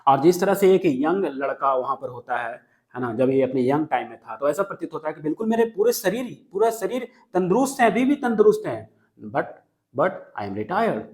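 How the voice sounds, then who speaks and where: A man's voice: a little high, big pitch swings, normal speed, a little mellow. A man teaching a poem line by line, switching between Hindi and English and often checking that students follow. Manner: mixes Hindi and English, checks understanding, repeats key lines. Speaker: literature teacher. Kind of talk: classroom lecture explaining a poem line by line.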